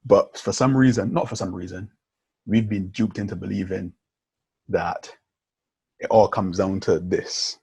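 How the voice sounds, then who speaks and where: A man hosting a podcast, telling stories in an animated, chatty way and constantly checking in with his listener. His voice sits low and has a husky grain. The sound is dry and close, with no room around it. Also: unhurried, long pauses, dry recording. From Barbados. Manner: animated storytelling, keeps checking in with "yeah?" and "right?". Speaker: podcast host. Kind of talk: casual conversation.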